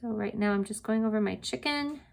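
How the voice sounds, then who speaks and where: A woman's voice: fairly high pitch, brisk, creaky, slight background noise. A middle-aged woman teaching a step-by-step drawing lesson to children, patient and encouraging, recorded at her desk on the camera mic. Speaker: art teacher.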